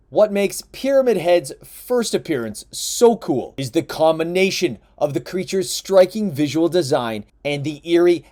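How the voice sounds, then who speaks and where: Lively, high-voiced male countdown narrator. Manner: lively, emphatic listing delivery. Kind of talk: scripted voice-over narration.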